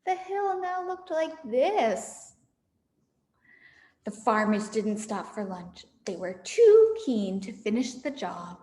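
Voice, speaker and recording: A high-voiced woman reads a children's story with lively, dramatic expression. She takes her time over the words. The room rings with a long echo. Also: slow pace. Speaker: storybook reader for a camp audience. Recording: echoey room with long reverb.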